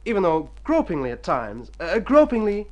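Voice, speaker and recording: An actor playing an excitable, self-pitying hypochondriac in an old radio drama, with a theatrical, florid delivery. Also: male voice, sits high, moderate pace. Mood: lofty, rapturous. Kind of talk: golden-age radio drama acting.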